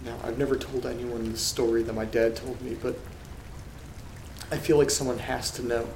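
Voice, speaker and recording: A man acting in a horror audio drama as an uneasy letter reader, telling things in a subdued, measured way with dramatic pauses. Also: medium pitch, level tone, a little mellow, natural voice. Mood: somber, ominous. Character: uneasy man reading creepy letters aloud alone. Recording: roomy sound with rain and noise. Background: rain and thunder ambience.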